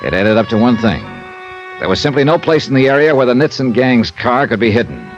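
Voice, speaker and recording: An actor playing a shrewd, breezy hard-boiled American investigator in an old radio detective drama. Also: male voice, medium pitch, sing-song, a little fast. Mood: brisk, watchful. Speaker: lead actor and narrator. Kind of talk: 1950s-style radio detective narration and acting. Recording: dull old radio recording with music.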